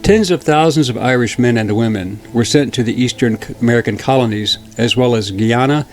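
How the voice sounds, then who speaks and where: A man narrating a historical documentary in a measured, steady reading. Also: mid-range voice. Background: faint background music.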